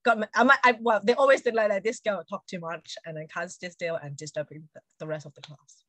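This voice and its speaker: A woman's voice: mid-range voice, fairly even intonation, quick pace, a bit bright, dry recording. A woman in her forties with a Hong Kong accent, chatting casually as an interview guest and often saying "you know". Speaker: interview guest, Montessori educator. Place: indoor room at home, video call.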